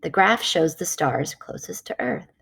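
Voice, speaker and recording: A woman reading a nonfiction children's book aloud in a measured, explanatory way. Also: fairly low pitch, lively intonation, vocal fry, fairly bright tone, husky.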